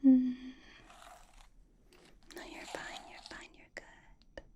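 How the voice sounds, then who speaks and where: A woman playing a doting, possessive vampire boss in a soft, breathy whispered ASMR roleplay, coaxing and fussing with a lightly bossy edge. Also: extremely slow. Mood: gentle, fussing. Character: doting, possessive female vampire boss, older than she looks.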